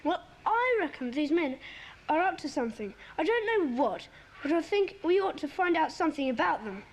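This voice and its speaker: A woman's voice: sits high, sing-song, moderate pace. A woman voicing an earnest, take-charge schoolboy who leads a children's club in an audio drama. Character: sensible bossy schoolboy, leader of a children's club.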